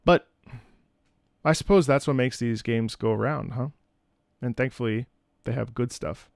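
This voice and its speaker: A man's voice: mid-range voice, unhurried, gravelly, darkish tone, no room sound, clean studio sound. A man streaming from home, chatting casually with viewers in a rambling, opinionated way, often repeating and restarting his phrases. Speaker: streamer and content creator. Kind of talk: casual livestream chat with viewers. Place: indoors at home.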